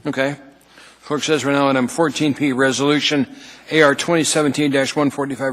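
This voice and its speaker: A man chairing a city assembly meeting, running through agenda items in a brisk procedural way. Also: mid-range voice, a bit bright. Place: council chamber. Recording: long reverb in a council chamber.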